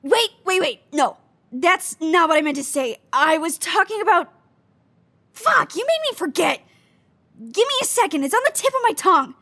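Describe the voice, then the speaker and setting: A woman playing an impulsive, eager young agent in a naturalistic audio drama, blunt and excitable. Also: very high-pitched, moderate pace, exaggerated voice. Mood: frustrated.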